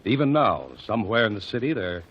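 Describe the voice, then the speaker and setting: A man playing a calm, impartial courtroom lawyer in a 1940s radio drama, formal and measured. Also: on the low side, slight background noise, close to an everyday voice. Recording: dull old radio broadcast.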